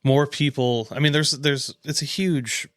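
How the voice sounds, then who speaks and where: A man with a North American accent talks casually as a co-host. His mid-pitched voice is recorded dry, with little room sound. He is laid-back and drops in wry remarks. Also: fairly even intonation, a little slow, a bit bright, no room sound. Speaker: podcast co-host. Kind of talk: casual chat.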